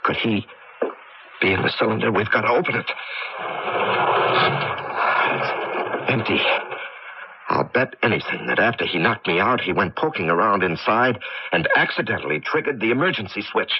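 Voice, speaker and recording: A man's voice: on the low side, very animated, moderate pace, long pauses. An actor playing an earnest, emotional male inventor and taxi driver who feels wronged, in a 1980s radio drama. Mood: urgent. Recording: muffled, noisy old radio broadcast recording.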